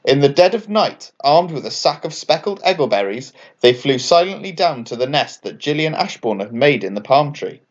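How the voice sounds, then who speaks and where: A man reading a children's bedtime story aloud as an expressive scripted narration. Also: on the high side, moderate pace, hardly any pauses, muffled recording. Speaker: author and story reader.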